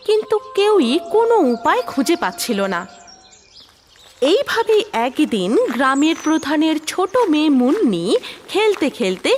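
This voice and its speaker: A woman narrating a scripted children's cartoon story with expressive storytelling delivery. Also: high voice, a little hiss or hum.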